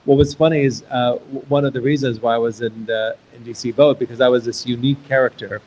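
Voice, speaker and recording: A man's voice: medium pitch, fairly even intonation, quick pace, darkish tone, highs cut off, a little hiss or hum. A man originally from Iraq, hosting a live onstage conversation and asking questions in a loose, thinking-aloud way with restarts. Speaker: host and interviewer.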